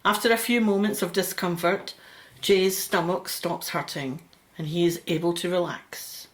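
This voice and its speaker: A woman's voice: on the low side, steady tone, measured pace. A woman reading scripted narration for a radio drama.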